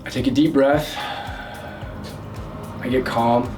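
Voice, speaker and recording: A man working as a love and communication coach, giving loud, energetic advice straight to camera, with plenty of fillers and restarts. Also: mid-range voice, slow, distant mic, faint music behind. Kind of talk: direct-to-camera advice talk.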